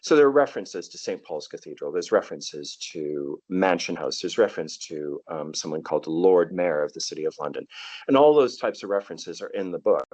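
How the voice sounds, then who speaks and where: Canadian guy in his middle years telling stories on a podcast, low voice that swings around a lot, dead dry sound. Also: animated, a little fast, darkish tone, no echo. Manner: thoughtful, storytelling, repeats words at starts. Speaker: guest, tour guide. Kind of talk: casual podcast interview.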